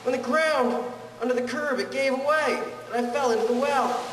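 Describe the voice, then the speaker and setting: Guy acting as scared worn-out teen boy in a radio play, really high voice, far off and echoey. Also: moderate pace, a bit bright. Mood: desperate, pleading. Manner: pleading, desperate. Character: frightened, exhausted fifteen-year-old boy trapped in a well. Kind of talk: audio drama acting. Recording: echoey, distant, with hiss.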